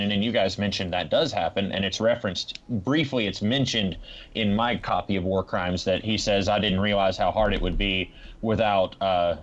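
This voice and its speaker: A man co-hosting a podcast, chatting casually about comics in a halting, rambling way over a lo-fi connection. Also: lowish voice. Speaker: podcast co-host reviewing comics. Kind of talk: casual chat, comic discussion. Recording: dull, lo-fi voice connection.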